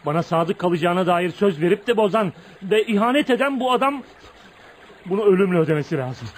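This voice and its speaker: A brooding radio play hero, volatile and jealous. His voice sits fairly high and presses with dramatic intensity. Faint noise runs through the recording. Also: expressive, brisk. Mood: furious, anguished. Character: brooding, jealous, wounded man of volatile temper. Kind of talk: Turkish radio theatre acting.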